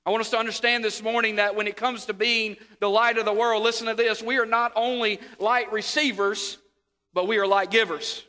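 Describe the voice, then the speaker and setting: A man's voice: high-pitched, level tone, brisk. A man preaching a sermon, sniffly from a sore throat, repeating words and calling for amens. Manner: repeats words, rises to near shouts, calls for amens. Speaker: pastor. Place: church sanctuary. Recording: long hall reverb.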